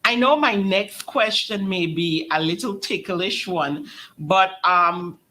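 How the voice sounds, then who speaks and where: A woman hosting a talk show, asking polite, measured questions with plenty of fillers. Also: on the low side, sharp tone. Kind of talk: interview questions.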